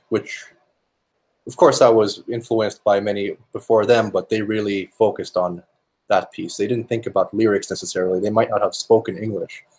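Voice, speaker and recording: A young man whose first languages are English and Finnish, a musician giving thoughtful interview answers with many fillers and restarts. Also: on the low side. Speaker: musician guest.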